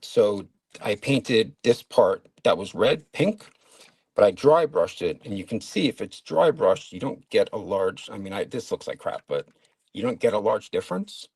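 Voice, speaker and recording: A man's voice: fairly low pitch, very animated, moderate pace, gravelly, darkish tone, husky, no room sound. A man from Long Island with a New York accent, chatting casually and rambling while painting miniatures on a stream. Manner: rambling, lots of fillers and self-corrections. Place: home studio at a hobby desk.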